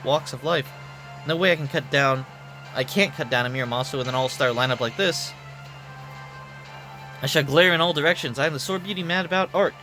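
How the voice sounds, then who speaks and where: A man's voice: fairly high pitch, natural voice, low-quality recording. A man voicing a brash young female painter and swordfighter in game dialogue, with blunt, slangy, emotional tough talk. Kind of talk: game dialogue read aloud. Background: background music.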